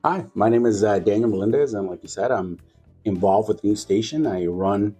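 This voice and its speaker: A man in his forties from Guatemala, a radio station owner telling his story in a relaxed, unhurried way with plenty of fillers. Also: fairly low pitch, husky, faint music behind. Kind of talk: casual interview talk.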